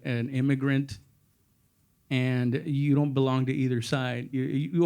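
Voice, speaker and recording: Halting, self-mocking man with a Mexican accent. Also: mid-range voice, darkish tone, clean tone. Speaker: comedian on a panel. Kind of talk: casual panel conversation. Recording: stage panel with audience laughter.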